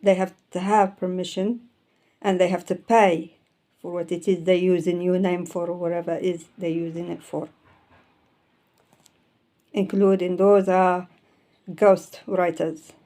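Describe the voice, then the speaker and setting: A woman's voice: lowish voice, level tone, measured pace, long pauses, mellow. A woman in her fifties, a native Arabic speaker, who self-publishes and talks casually about books, rambling and correcting words mid-phrase. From Arabic-speaking country. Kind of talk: casual explanatory talk, showing books.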